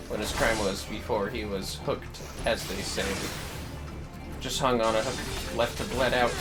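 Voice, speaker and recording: Low-voiced man chatting over loud music. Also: rough recording. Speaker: livestream host. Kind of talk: casual chat while playing a game.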